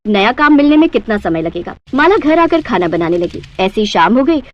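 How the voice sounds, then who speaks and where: High-pitched woman narrating a cartoon story. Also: big pitch swings, normal speed, highs cut off.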